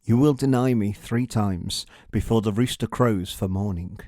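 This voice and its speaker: A man voicing a calm, kindly religious teacher in a children's storybook, measured and grave. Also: fairly low pitch, no echo, studio quality, lightly acted. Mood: solemn.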